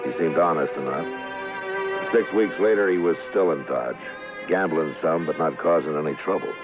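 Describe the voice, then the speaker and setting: An actor playing a steady, stern frontier marshal in an old radio western, terse and dry with quiet authority. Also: male voice, very deep voice, moderate pace, over music. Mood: measured. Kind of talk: 1950s radio western acting and narration. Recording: old radio recording, narrow band, loud noise.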